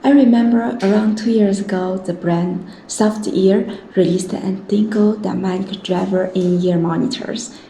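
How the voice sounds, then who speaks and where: A woman's voice: mid-range voice, fairly even intonation, unhurried, few pauses, dark tone. A woman presenting a casual product first look as a video host. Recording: roomy echo, clear background noise.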